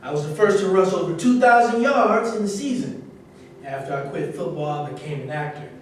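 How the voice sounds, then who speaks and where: A man's voice: sits high, lively intonation, brisk. A man playing a defensive, disgraced football star turned actor in a staged reading. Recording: echoey room, far mic, faint noise.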